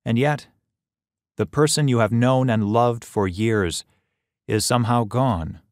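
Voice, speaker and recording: A man reading scripted audiobook narration in a measured, expressive storytelling manner, close on the microphone. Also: medium pitch, dry recording. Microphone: close studio microphone.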